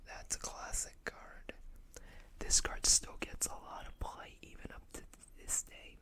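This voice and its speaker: An ASMR host whispering in an unhurried way, reading text aloud and adding small asides. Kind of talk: whispered ASMR card showcase.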